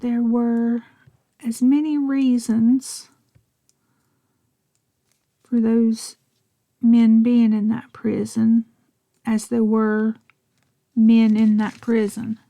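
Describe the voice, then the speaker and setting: A middle-aged woman with a Southern US accent, chatting and rambling softly, often half-whispering, as she narrates her crafting. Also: fairly high pitch, level tone, extremely slow, creaky, pauses often, dry recording. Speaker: crafting vlogger.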